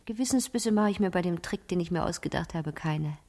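A scheming teenage girl in a radio drama, with a breathy, husky voice that sits on the low side. She tells her tale deliberately and theatrically, sure of her own cleverness. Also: a little mellow. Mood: sly, confident. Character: cunning fifteen-year-old girl, imaginative, scheming, self-assured. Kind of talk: 1970s German radio play monologue.

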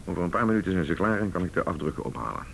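A persuasive senior detective from a radio play, with a low voice and a Netherlands Dutch accent. He talks quickly and insistently, over faint noise. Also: lively intonation, gravelly, a bit bright, exaggerated voice. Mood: determined. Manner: insistent, reasoning aloud. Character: senior male police detective, determined and persuasive. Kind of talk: naturalistic radio drama dialogue.

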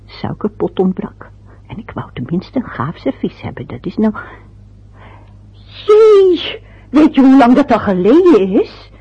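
A woman's voice: high-pitched, breathy edge, heavily acted. An actress playing a fussy, anxious older mother in a radio play, chattering and muttering to herself. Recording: dull lo-fi old radio recording.

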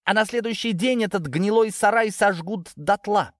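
A man's voice: lowish voice, very animated, moderate pace, dry recording, clean studio sound. A man voicing a defiant young adult daughter, hurt and bitter, with an emotional, biting delivery in an audiobook. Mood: sarcastic, threatening.

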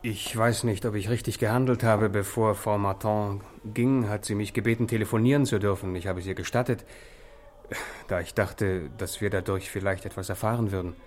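A man's voice: fairly low pitch, fairly even intonation, brisk, a little hiss or hum, close to an everyday voice. A man plays a dutiful junior detective. He explains himself uneasily and deferentially, in the style of vintage sixties radio drama. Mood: apologetic. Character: dutiful, uneasy male police subordinate reporting to boss. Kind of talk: 1960s German radio drama acting. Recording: vintage 1960s radio studio recording.

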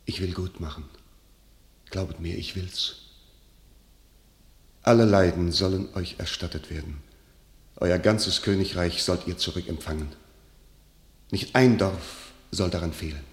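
A man's voice: sits low, fairly even intonation, measured pace, pauses often, roomy sound. An actor playing a proud, passionate nobleman and warrior in a classical verse radio drama, declaiming with fervour. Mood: earnest, vowing. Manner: declamatory, fervent.

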